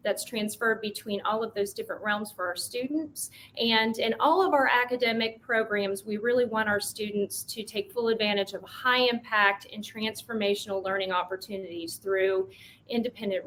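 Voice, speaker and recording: A woman's voice: mid-range voice, a bit bright, a little hiss or hum. A woman moderating a college panel, upbeat and welcoming, with frequent ums. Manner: upbeat, fills pauses with um. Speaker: moderator, admissions dean.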